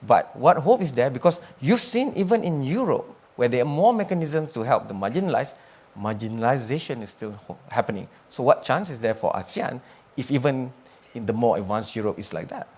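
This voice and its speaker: A man's voice: medium pitch, expressive, fairly quick, darkish tone, breathy edge. A man moderating a panel discussion, asking long, probing questions. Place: conference panel stage.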